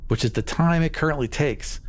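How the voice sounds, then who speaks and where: A man playing a smooth-talking, evasive colony leader in improvised tabletop roleplay, assured and persuasive. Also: a little high, a little fast, voice pushed up, natural voice.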